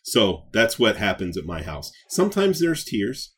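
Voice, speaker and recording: A man bantering casually as a podcast co-host. He takes his time, but his pitch swings widely. The voice is husky, and his manner is playful and enthusiastic. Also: huge pitch swings, a little slow, fairly bright tone. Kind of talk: casual pop culture banter.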